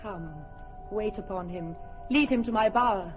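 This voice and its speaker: A woman's voice: medium pitch, normal speed, dull audio, faint music behind, natural voice. An actress playing a proud, doting fairy queen who speaks lyrical verse in a classic radio drama. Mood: tender. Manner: lyrical, imperious verse delivery.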